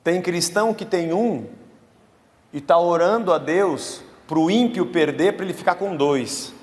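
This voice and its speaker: Brazilian pastor preaching in a reverberant church. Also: male voice, high voice, measured pace. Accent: Brazilian Portuguese. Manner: conversational preaching with slang. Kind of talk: sermon.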